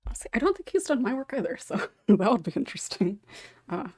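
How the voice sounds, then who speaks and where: A woman's voice: fairly high pitch, big pitch swings, fast, darkish tone, breathy edge, no room sound, studio quality. A woman hosting a podcast in a casual, chatty way, often giggling as she talks. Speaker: podcast host.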